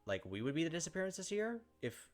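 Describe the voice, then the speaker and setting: A man's voice: fairly high pitch, very animated, quick pace. A man hosting a gameplay video, reading visual novel narration aloud and commenting casually. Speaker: gameplay host. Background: game music.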